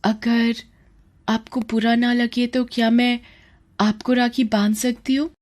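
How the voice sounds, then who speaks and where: A woman's voice: fairly high pitch, flat delivery, takes it slowly, darkish tone, pitched above the performer's own voice. A woman voicing a poor, earnest young girl street seller in a cartoon story, with an eager, sweet, childlike manner. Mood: shy, earnest. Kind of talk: dramatised Hindi cartoon storytelling.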